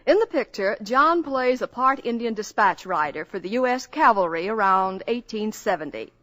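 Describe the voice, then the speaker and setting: A woman serving as a film columnist on a 1950s radio show, brisk and enthusiastic in scripted chat. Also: medium pitch, expressive, a bit bright.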